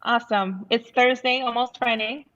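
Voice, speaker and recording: A woman's voice: fairly high pitch, steady tone, normal speed, fairly bright tone. A woman hosting a livestream interview in an upbeat way, over a dull-sounding online call. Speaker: livestream show host. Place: remote video call.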